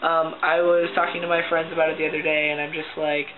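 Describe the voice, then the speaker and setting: A man's voice: fairly high pitch, little pitch movement, fast talker. A young man with a cracking voice, talking casually and upbeat in a video diary, full of ums and likes. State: voice cracking often. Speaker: vlogger. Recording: tinny lo-fi audio with faint hiss.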